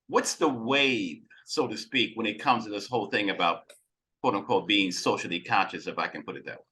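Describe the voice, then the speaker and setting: A man interviewing casually as a podcast co-host, deliberately stressing key words and rephrasing his questions. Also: lowish voice, bright, forceful tone.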